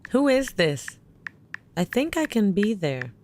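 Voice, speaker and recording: A worried woman in a sci-fi audio drama, with a mid-pitched voice. She is earnest and questioning, caring yet suspicious, and she presses her point with gentle insistence. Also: very animated, takes it slowly, a little hiss or hum. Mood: intent, wary. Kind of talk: audio drama acting, naturalistic dialogue.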